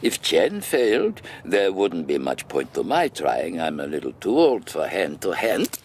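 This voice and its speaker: An actor playing a menacing older man in a radio drama, speaking in formal, precise, deliberate phrases. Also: deep voice, wildly swooping pitch, a little mellow, slight background noise, heavily acted. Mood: cold, menacing. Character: menacing aging male villain, formal, cold, deliberate.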